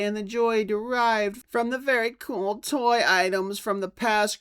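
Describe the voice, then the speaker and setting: A man's voice: high-pitched, fairly bright tone, clean tone. A man voicing a pompous, self-satisfied toy company boss in a mock dramatic reading of a letter. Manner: pompous, salesman-like.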